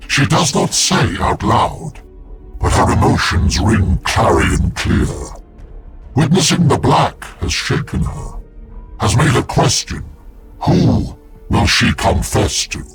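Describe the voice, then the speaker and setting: A tormented seer-soldier from a sci-fi war game, with music loud behind him. He speaks very slowly and breathily, halting between cryptic, portentous phrases. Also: medium pitch, huge pitch swings, full character voice. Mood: brooding, tormented. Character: haunted, visionary psychic space marine, tormented and cryptic. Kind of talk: dramatic game voice acting.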